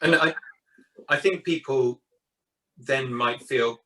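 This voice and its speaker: A middle-aged man hosts a webinar interview over a video call from a book-lined study. His voice is bright and crisp, and it sounds slightly distant from the microphone. He hesitates often, with ums and repeated words. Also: medium pitch, takes it slowly. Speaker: interviewer, board chair. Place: home study with bookshelves. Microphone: webcam on video call.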